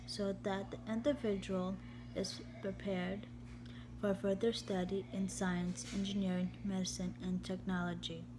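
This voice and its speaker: A woman reading a text aloud slowly and haltingly in a steady, even tone, often repeating words and restarting. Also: medium pitch. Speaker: vlogger. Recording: steady background hum.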